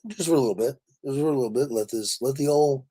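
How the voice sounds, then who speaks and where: A man with a raspy voice worn from a lot of talking, chatting casually and effusively with live viewers as host, in a jokey, rambling way. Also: medium pitch, fast, dark tone. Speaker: livestream host.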